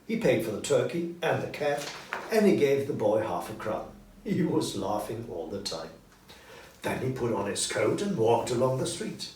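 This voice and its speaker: A man reading a story as narrator, in an expressive, deliberate scripted style. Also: mid-range voice. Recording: roomy echo, distant mic, faint noise.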